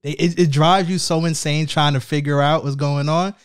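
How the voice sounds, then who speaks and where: A man's voice: on the high side, fairly even intonation, moderate pace, smooth. A man co-hosting a podcast in casual banter, teasing the others and laughing a lot. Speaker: podcast co-host.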